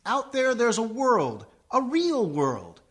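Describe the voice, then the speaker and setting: A woman's voice: on the high side, wildly swooping pitch, slow, heavily acted. A woman playing an earnest, defiant grown son who wants to be a country singer, acted melodramatically for a comic radio play. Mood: melodramatic.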